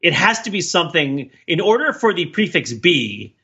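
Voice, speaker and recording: Male co-host, bright and edgy tone, comic rambler with a mock-academic streak, on somewhat muffled lo-fi podcast audio. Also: fairly high pitch, very animated, normal speed, husky. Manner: rambling, mock-scholarly tangents. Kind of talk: casual comedic banter and riffing.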